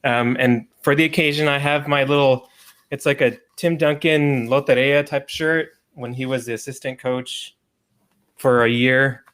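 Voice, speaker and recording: A man hosting a casual livestream over a video call, relaxed and chatty, with frequent ums and laughs. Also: mid-range voice, unhurried, smooth. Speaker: livestream host and sports writer.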